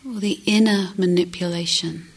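A woman meditation teacher with a breathy, husky voice often near a whisper, gently guiding a meditation with long pauses. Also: on the low side, steady tone. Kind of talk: guided meditation.

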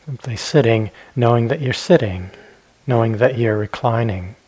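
Male meditation teacher, husky mid-range voice, gentle unhurried instruction with spacious pauses. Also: mid-range voice, poor audio.

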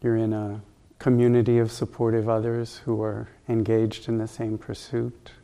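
A man leading a guided meditation as a teacher, speaking calmly and gently in unhurried, spaced instructions. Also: lowish voice, vocal fry.

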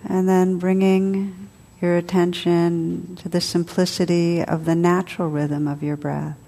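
Slow, mellow woman guiding a meditation. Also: lowish voice, level tone, vocal fry. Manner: soothing, unhurried, with long pauses between short phrases. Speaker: meditation teacher. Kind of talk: guided meditation, spoken to a group.

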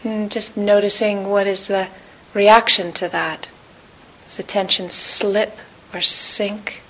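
Woman slowly guiding a meditation. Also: medium pitch, little pitch movement, pushed and bright, rough recording. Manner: unhurried, long pauses between short phrases. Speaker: meditation teacher. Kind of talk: guided meditation.

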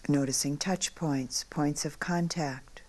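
A woman meditation teacher leading a guided meditation in short, unhurried phrases with long pauses, often hushed at the ends. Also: low voice, steady tone.

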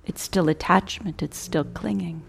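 A woman teaching a guided meditation in a measured, contemplative way, pausing between short phrases and softly trailing off at the ends. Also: on the low side, vocal fry, a little hiss or hum.

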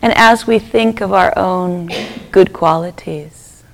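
Slow, creaky-voiced woman guiding meditation. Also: lowish voice. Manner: gentle, unhurried, with long pauses between short phrases. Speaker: meditation teacher leading a group. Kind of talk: guided loving-kindness meditation.

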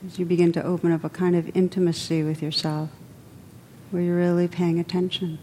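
Woman guiding meditation, lowish mellow voice, gentle and unhurried with pauses, little pitch movement. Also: little pitch movement, a little hiss or hum, poor audio. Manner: gentle, unhurried, pausing between phrases. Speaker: meditation teacher. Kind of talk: guided meditation.

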